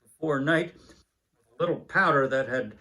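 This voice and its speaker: A man in his seventies reads a children's Christmas story aloud, unhurried, with small hesitations. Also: a little high, pushed and bright. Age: 70s. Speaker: story reader.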